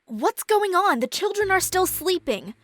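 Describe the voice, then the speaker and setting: Girl doing a shy flustered young caretaker, high voice, stammery, faint music. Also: sing-song, unhurried, a bit bright, no room sound, studio quality, heavily acted. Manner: hesitant and stammering. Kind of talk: amateur game fan dub.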